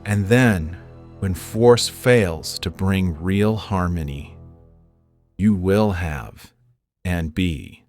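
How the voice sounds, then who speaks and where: Man acting a deep, solemn, slow-spoken warrior. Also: a little mellow, faint music behind. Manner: measured, philosophical, grave delivery. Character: Aaron, solemn, resolute man, mystical wandering warrior. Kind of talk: audio drama acting.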